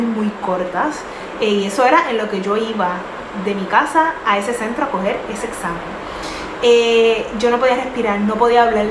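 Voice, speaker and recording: Young woman, earnest storyteller, middling pitch, echoey room with background noise. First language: not English. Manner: earnest, many fillers and restarts. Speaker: vlogger. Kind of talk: personal storytelling.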